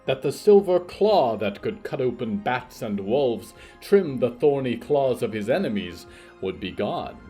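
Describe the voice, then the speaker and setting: A man delivering formal, theatrical scripted narration for a horror fiction podcast, in a measured storytelling manner with a husky voice. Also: mid-range voice, big pitch swings, dark tone, faint music behind.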